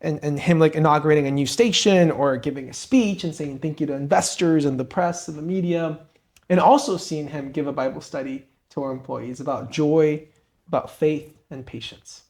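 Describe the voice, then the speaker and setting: Man, from Peru, young adult, fairly high pitch, earnest, motivational podcast talk. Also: moderate pace, darkish tone. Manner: earnest, motivational, addresses host by name. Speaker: podcast co-host and guest. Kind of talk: conversational interview.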